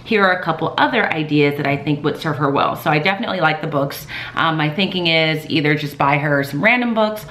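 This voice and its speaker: A woman in her thirties, a teacher, chatting casually in a calm, soft-spoken way with many fillers. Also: sits low, fairly quick, hardly any pauses, a little hiss or hum. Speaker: teacher vlogger. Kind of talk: casual vlog talk. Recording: echoey room.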